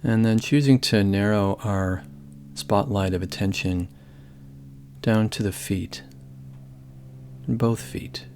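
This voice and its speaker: Low-voiced man guiding a meditation. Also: slight background noise. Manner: calm, gentle, measured, with long pauses. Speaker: meditation guide. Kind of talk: guided body scan meditation.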